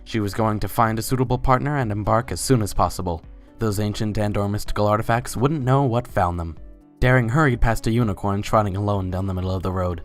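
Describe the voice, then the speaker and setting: A man with a very low voice narrates a story in a dramatic reading. His delivery is scripted storytelling, with music playing softly underneath. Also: quick pace.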